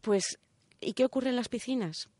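A woman's voice: a little high, moderate pace, warm tone, dry recording. A woman with an accent from Spain presenting a segment in casual radio chat, colloquial and often checking in with short tag questions.

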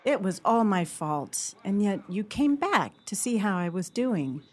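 A woman's voice: mid-range voice, very animated, measured pace. An actress playing an emotional, earnest woman talking with a close friend in a radio play. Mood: apologetic, tender. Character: woman friend, emotional, sports-loving, turning sweetheart.

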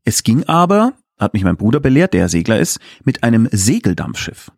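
A man's voice: fairly low pitch, sing-song, moderate pace, vocal fry, a little mellow, no echo, studio quality. A man speaking as a guest director in a casual interview, explaining things in chatty, enthusiastic detail. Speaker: guest, audio drama director.

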